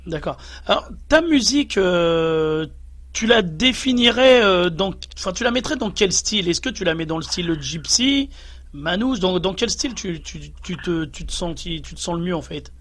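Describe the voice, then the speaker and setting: A man hosting a casual radio interview, asking questions in an informal, halting way. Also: sits high, lively intonation, a bit bright.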